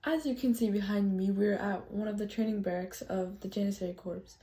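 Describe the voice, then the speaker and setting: A young woman giving a scripted history presentation in character as a tour guide, polite and a little halting. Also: mid-range voice, dark tone. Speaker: student presenter playing a loyal Janissary tour guide.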